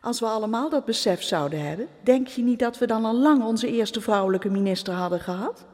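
Chatty minister's wife, lively voice, room echo. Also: female voice, a little high, fairly quick. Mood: breezy. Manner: breezy, talkative. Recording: reverberant room.

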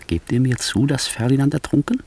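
A man's voice: medium pitch, brisk, a little mellow, a little hiss or hum, lightly acted. An actor playing a sly, ambitious, scornful nobleman in a classical 1960s German radio drama, with a theatrical, persuasive delivery. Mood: urging, insinuating.

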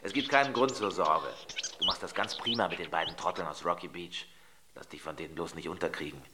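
An actor playing a soothing, scheming husband in an audio drama. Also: male voice, sits low, a little fast, very noisy, natural voice. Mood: soothing, dismissive. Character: soothing, scheming husband dismissing his wife's fears.

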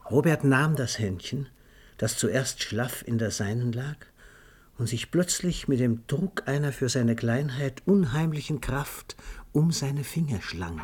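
A man narrating a literary radio play in a measured storytelling manner. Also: mid-range voice, darkish tone, slight background noise.

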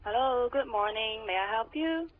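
An actress playing a blunt, offhand office worker with a Hong Kong Cantonese accent, heard through a telephone filter. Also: female voice, on the high side, expressive, normal speed, over loud music. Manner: casual, curt. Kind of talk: radio drama phone dialogue. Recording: tinny phone line.

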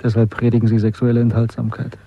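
An actor playing a bitter, brooding dissenter in a radio drama, speaking with introspective intensity. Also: male voice, medium pitch, completely flat delivery, fast talker, no echo, natural voice. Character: disillusioned male dissenter, brooding, bitter, restless. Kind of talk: German radio drama acting. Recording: dull radio recording, faint music.

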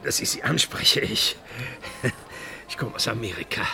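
A man's voice: fairly low pitch, animated, moderate pace, gravelly, sharp tone, heavily acted. An actor in a radio play voicing a nervous, chatty American businessman abroad, hesitant, fussy and stammering. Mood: nervously friendly. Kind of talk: radio drama dialogue. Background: music.

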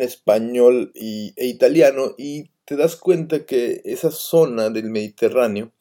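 A man's voice: mid-range voice, dark tone. A man hosting a radio show in casual, rambling talk, full of hesitations and fillers. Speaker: radio host.

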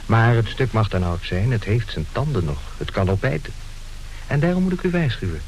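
An actor playing a wry, mock-solemn narrator who formally addresses the listeners in a theatrical radio play. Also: male voice, fairly low pitch, sing-song, brisk, poor audio. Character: wry male narrator warning of a rogues' comedy. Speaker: announcer introducing a radio play. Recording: hissy old radio drama recording.

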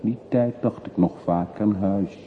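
A man's voice: lowish voice, measured pace, lightly acted. An actor playing a brooding middle-aged man back from long exile in a radio play, terse, evasive and reflective. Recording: muffled phone-like old radio recording, faint hiss.

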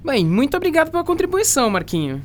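A patient, encouraging Brazilian science teacher, a male role played by a woman, explaining things with a high, very lively voice. It sounds like an educational radio play, with faint background noise. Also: quick pace. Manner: didactic, explanatory. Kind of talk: scripted educational radio drama.

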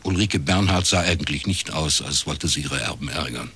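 A man's voice: rumbling low, moderate pace, bright, forceful tone, slight background noise. An actor in his forties playing a wry, world-weary East German private detective in a radio crime drama, talking in a laconic, ironic way. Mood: casual, probing. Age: 40s. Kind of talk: scripted radio crime drama narration and dialogue.

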